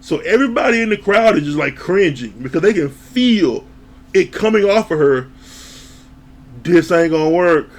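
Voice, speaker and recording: A man with a slightly higher voice gives a loose, animated spoken recap of a reality show. He talks in an offhand way, swears and laughs, and acts out what the people on the show said. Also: moderate pace. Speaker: reality TV reviewer. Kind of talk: casual recap commentary.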